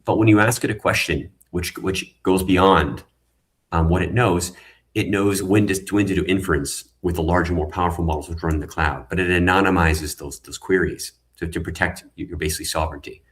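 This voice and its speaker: Very deep-voiced man explaining earnestly. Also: steady tone, a little fast, fairly bright tone. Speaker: guest, tech founder. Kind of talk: online panel conversation. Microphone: video call.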